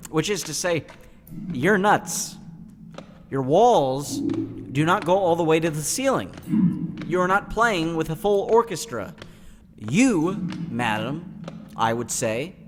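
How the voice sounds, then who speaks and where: A man plays a cynical teenage boy in a comic dub. His highish voice moves around a great deal, sardonic and exasperated, with long reverb over a noisy track. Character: cynical, sarcastic teenage boy, gloomy and wary. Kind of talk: comic dub narration and dialogue.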